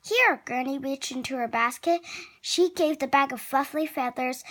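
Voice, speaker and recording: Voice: high voice, normal speed, fairly bright tone. A reader narrating a picture book aloud expressively, with playful asides. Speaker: children's story reader.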